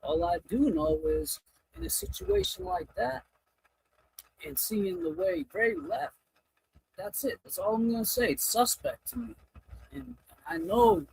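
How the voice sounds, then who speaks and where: A man hosting a casual livestream, talking in a rambling, halting way and often breaking off mid-thought. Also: high voice, very animated, gravelly, a little mellow, a little hiss or hum, poor audio. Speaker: livestream host.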